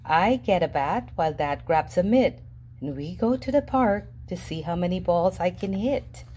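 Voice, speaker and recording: A woman reading a children's picture book aloud in a lively, sing-song storybook voice with playful jumps in pitch. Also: moderate pace, a little hiss or hum. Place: indoors at a table, reading an open book. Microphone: camera above the book, reader unseen.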